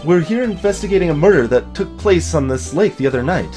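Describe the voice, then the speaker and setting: Young male lawyer for game dub, female performer: lowered voice, earnest, flustered, hesitant, dryly stammering. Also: animated, fast talker, dark tone, faint music behind, natural voice.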